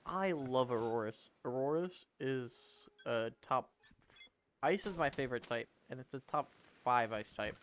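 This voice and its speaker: A man's voice: medium pitch, unhurried, fairly bright tone, slight background noise. A twenty-year-old man casually chatting while streaming a game, rambling and answering viewers as he plays. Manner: rambling, self-correcting, answering chat. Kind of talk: casual gaming livestream chat.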